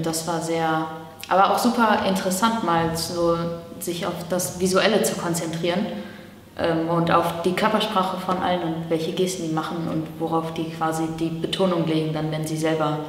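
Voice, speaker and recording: A woman's voice: fairly low pitch, a little hiss or hum. An eighteen-year-old woman from Germany answering interview questions in a chatty way, in long sentences full of fillers. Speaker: camp participant. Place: indoor room against a bare wall. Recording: distant, echoey room with reverb.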